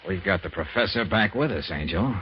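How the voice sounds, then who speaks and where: An actor playing a coolly confident private detective in 1940s radio style. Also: male voice, low voice, animated, brisk. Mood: cool, wry. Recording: dull, very noisy 1940s radio recording.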